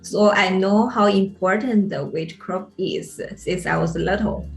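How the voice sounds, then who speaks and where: A woman with a Chinese accent delivers a prepared acceptance speech at a slow, measured pace. The room adds a clear echo, and music plays behind her. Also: medium pitch. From Henan, China. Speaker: postdoctoral researcher accepting an award.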